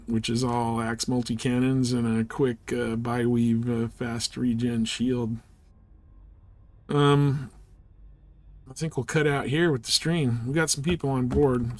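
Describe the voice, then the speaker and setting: A man livestreaming a video game with casual commentary, rambling a little as he thinks aloud. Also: mid-range voice. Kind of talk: casual live gameplay commentary.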